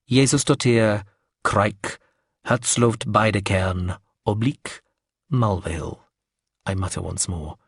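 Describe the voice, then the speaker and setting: Brief: low, husky male narrator, wry and measured, dry close sound. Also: dry recording. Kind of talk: first-person audiobook narration.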